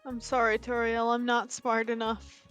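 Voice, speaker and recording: A woman's voice: on the high side, completely flat delivery, normal speed, a bit bright, soft background music. A woman co-commentating on a game stream in casual, joking chat.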